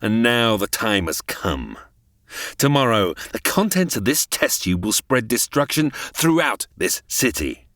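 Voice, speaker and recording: Wildly theatrical, gloating mad-scientist villain. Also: male voice, on the low side, very animated, a little slow, fairly bright tone, no room sound, clean studio sound. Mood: bitter, vengeful. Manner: theatrical, condescending, formal. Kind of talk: old-time radio melodrama parody acting.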